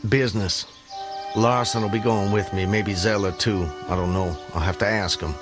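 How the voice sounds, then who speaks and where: An actor playing a proud, resolute Southern planter of the Civil War in a radio drama, speaking formally and gravely. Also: male voice, lowish voice, fairly even intonation, normal speed, over music, lightly acted. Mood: firm, tender. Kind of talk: radio drama acting, narrating and dialogue.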